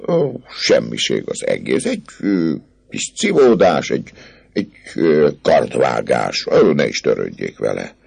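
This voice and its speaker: A man's voice: a bass voice, wildly swooping pitch, vocal fry, a little mellow, husky, heavily acted. A man voicing a boastful young army captain who hesitates and stammers through evasive answers. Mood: offhand.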